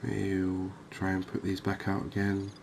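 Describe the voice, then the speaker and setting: Low-pitched, slow, monotone ASMR man. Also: gravelly, noisy background. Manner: soft-spoken, unhurried, soothing. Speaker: gaming video host. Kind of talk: ASMR gameplay commentary.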